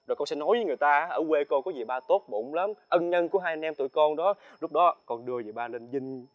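An actor with a Southern Vietnamese accent playing a humble, coaxing young villager and devoted older brother in a folk-tale drama. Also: male voice, on the high side, fast, natural voice. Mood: pleading, persuasive. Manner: deferential, pleading. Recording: dull sound with background music.

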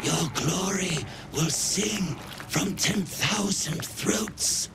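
An actor playing a fervent fish-creature priest in a fantasy game, grandly and reverently proclaiming devotion to a bloody god. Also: male voice, sits high, drawn-out words, creaky, background noise, exaggerated voice. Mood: fawning awe. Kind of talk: fantasy video game acting.

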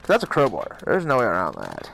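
A man's voice: on the low side, huge pitch swings, fairly quick, vocal fry, fairly bright tone, faint music behind. A man giving casual let's-play commentary, puzzled and often muttering to himself. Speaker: video game streamer, host. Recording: faint noise, keyboard and mouse clicks.

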